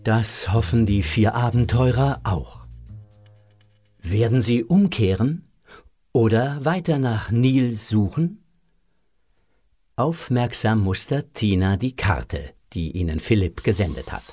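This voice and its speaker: Slow, expressive male storyteller, slightly husky. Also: on the low side, faint music behind. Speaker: narrator of a children's audio drama. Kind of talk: scripted storybook narration.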